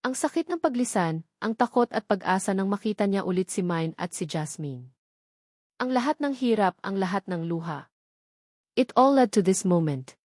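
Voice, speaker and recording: An earnest woman narrates a romantic story with gentle feeling and expressive phrasing. Her voice sits at a middle pitch. The recording is close and dry, with no room sound. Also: normal speed, no echo. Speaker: storyteller and author.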